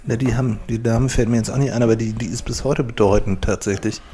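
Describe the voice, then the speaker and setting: A man talking fast and eagerly as a podcast co-host. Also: medium pitch, steady tone, mellow. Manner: rapid, enthusiastic. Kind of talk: casual chat.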